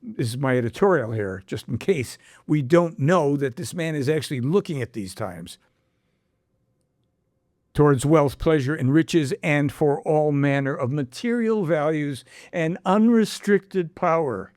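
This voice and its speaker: A man's voice: a little high, animated, unhurried, a little mellow, no room sound. Man reading aloud and musing, dry close sound. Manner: reads prophecy text then adds his own commentary, asks rhetorical questions. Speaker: podcast host. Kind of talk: reading aloud and casual discussion.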